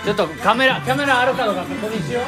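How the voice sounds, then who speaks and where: A man, loud and joking, hosting a casual livestream at his birthday party. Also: very high voice, lively intonation, racing, a loud crowd. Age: 30s. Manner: loud, joking, swears a lot, mixes in Japanese words. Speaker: streamer and party host. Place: apartment living room at a party. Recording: loud music and crowded room.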